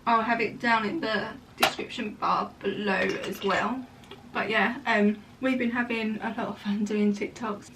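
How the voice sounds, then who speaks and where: A young woman chats her way through a makeup tutorial, wandering into casual asides as she works. Her voice sits high and sounds a touch croaky. She is recorded at home from a little way off the mic, with a faint hiss underneath. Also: recorded from a distance, low-quality recording. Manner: chatty, rambling asides. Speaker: makeup vlogger. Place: room at home.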